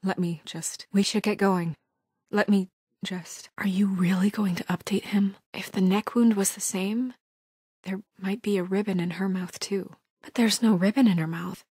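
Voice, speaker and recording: Actress doing stern tired woman in charge, video game character, crisp n businesslike, mid pitch, super dry close sound. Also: steady tone, moderate pace, a little mellow, no echo, natural voice. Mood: hushed, puzzled. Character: composed, weary, stern female administrator, quietly troubled. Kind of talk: naturalistic video game voice acting.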